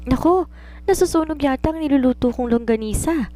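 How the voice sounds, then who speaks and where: Story role: meek teen maid, higher-pitched, hesitant and apologetic , acted by a female narrator. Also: brisk, warm tone, lightly acted. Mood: alarmed. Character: timid, guilty teenage housemaid girl, clumsy and apologetic.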